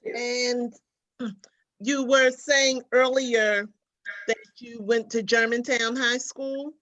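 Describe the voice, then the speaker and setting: Lady asking polite questions, talks slow, bit high pitched. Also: fairly even intonation, fairly bright tone, rough recording. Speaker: regular panelist asking questions.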